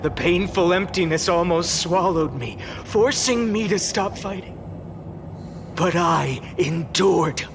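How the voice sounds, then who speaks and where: A defiant young hero of a video game, vengeful and grandiose. The voice sits high for a man, with a rough gravelly edge, and every phrase is slow and theatrical. Clear background noise sits behind it. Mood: grim endurance. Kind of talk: dramatic video game cutscene monologue.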